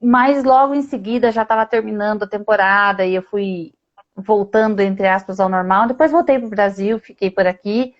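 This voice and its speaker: A woman from Brazil hosting a live-streamed conversation over a video call, telling a personal story in a lively way. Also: mid-range voice, moderate pace, muffled recording. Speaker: host.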